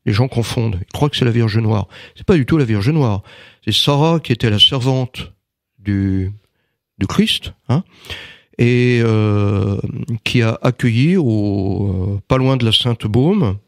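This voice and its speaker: A man's voice: medium pitch, a little slow, gravelly, no echo, clean studio sound. A man giving an interview in casual conversation, speaking hesitantly with many fillers and restarts. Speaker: interviewee, writer and magnetizer.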